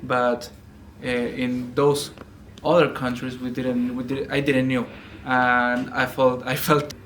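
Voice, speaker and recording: A man from Chile speaking up in a discussion, searching for words and pausing often. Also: medium pitch, flat delivery, a bit bright, slight background noise. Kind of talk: casual discussion.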